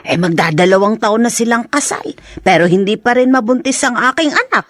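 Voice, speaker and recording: A woman's voice: a little high, animated, fairly quick, no echo, close to an everyday voice. Actress as a wistful mother, dry studio sound. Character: middle-aged mother, wistful, hopeful for her married daughter. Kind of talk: radio drama acting.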